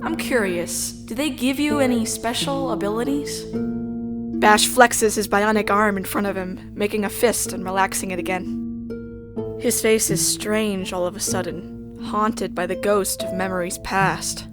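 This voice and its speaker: A woman's voice: medium pitch, steady tone, a little slow, natural voice. Woman as earnest narrator heroine over music. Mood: curious, flustered. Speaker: narrator and player character. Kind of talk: scripted first-person narration with dialogue. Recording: music behind the voice.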